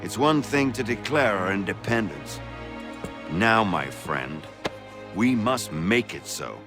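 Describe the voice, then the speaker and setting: An actor playing an earnest, measured revolutionary statesman. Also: fairly low pitch, lively intonation, music in the background. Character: earnest male revolutionary statesman, middle-aged.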